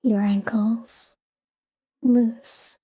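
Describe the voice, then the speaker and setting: A woman leads a sleep talk-down in a very dark, mellow voice. She speaks softly and breathily, close to a whisper, and keeps an even, calm delivery. Her short phrases are unhurried, with space left between them. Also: on the high side, breathy edge. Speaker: sleep meditation guide. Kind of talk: soft guided sleep meditation, whispered talk-down.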